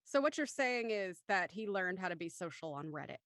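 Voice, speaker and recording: A woman's voice: fairly low pitch, sing-song, a little fast, a bit bright, no room sound, clean studio sound. A woman hosting a live show with casual banter, playful and self-deprecating as she jokes around. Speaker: host.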